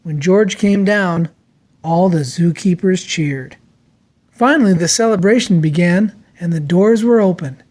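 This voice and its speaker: A storyteller reading a children's book aloud in an expressive, dramatic way. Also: medium pitch, measured pace. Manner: expressive, acts out character lines.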